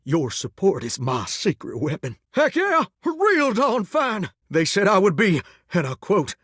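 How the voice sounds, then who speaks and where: A man voicing an earnest, excitable shower come to life as a folksy country singer with dreams, in an American Southern accent, for a video game. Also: a little high, normal speed, husky, no room sound, studio quality. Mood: excited, sincere. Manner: folksy, earnest, flirty drawl with dramatic swoops. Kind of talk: video game character voice acting.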